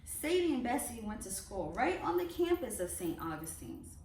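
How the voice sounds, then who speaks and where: A woman reading a children's picture book aloud in an expressive storytelling way. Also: a little high, moderate pace. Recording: echoey room, distant mic, faint noise.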